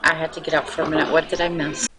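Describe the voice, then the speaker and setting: A woman's voice: sits low, flat delivery, racing, crowd noise, low-quality recording. A woman co-hosting a podcast, talking casually in a group voice chat. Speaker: podcast co-host. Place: voice chat for a live online show.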